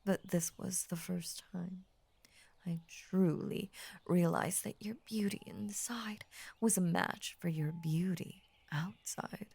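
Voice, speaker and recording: Woman acting a slow, husky, whispery lovestruck lamia. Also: fairly low pitch, steady tone, full character voice. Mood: wistful, obsessive. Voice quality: breathy, husky, often dropping into whisper. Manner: slow, tender, formal, hushed obsessive devotion. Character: ancient possessive lamia, lovestruck, devoted and murderous. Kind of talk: intimate roleplay audio acting.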